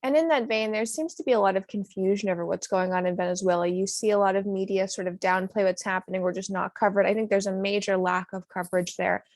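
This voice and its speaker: Fast-talking woman host. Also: mid-range voice, fairly even intonation, dry recording. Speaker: show host, interviewer. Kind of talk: conversational political interview.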